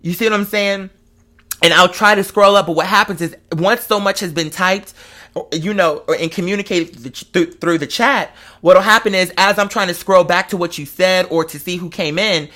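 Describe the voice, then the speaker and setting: Male vlog host, high-pitched, forceful bright tone; emphatic, slang-heavy confessional chat that wanders off on tangents. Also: moderate pace. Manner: rambling, digressive. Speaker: online show host. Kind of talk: casual confessional chat to followers.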